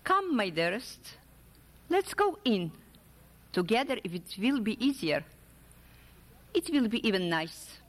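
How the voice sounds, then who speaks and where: A woman's voice: on the high side, big pitch swings, unhurried, pauses often. A woman voicing an earnest, hesitant older man in love, in a literary story reading. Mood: tender. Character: silver-haired widowed older man, earnest, hesitant suitor. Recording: echoey hall with long reverb.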